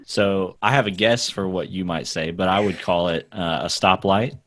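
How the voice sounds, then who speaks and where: A man's voice: deep voice, moderate pace, fairly bright tone, no echo. A man hosting a podcast, joking dryly and leading the conversation. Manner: dry, joking, wraps up the interview. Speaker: podcast host. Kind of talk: casual podcast chat.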